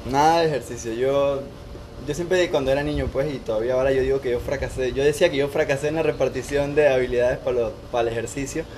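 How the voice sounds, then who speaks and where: Fast-talking young man. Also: medium pitch, steady tone, a little mellow, a little hiss or hum, low-quality recording. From Panama, born in Costa Rica. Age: 20s. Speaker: interview guest. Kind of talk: casual conversation, personal storytelling.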